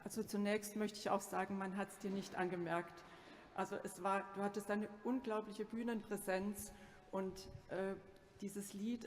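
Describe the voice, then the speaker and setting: A woman on a jury, giving warm spoken feedback to a performer, with some fillers. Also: medium pitch. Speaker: jury member. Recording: long hall reverb.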